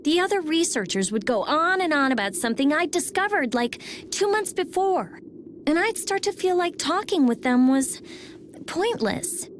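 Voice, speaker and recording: A woman's voice: high-pitched, lively intonation, moderate pace, a little hiss or hum. A woman playing a blunt, prickly teenage girl genius in a video game, brusque and guarded. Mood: guarded, confiding. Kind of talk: English video game voice acting.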